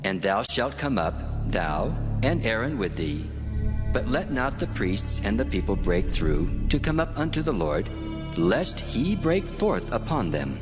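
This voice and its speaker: God, low voice, slow commanding delivery, booming hall echo, orchestral music behind. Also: male voice, sing-song. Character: God, deep commanding divine male voice. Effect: reverberant divine echo. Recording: long hall reverb over music.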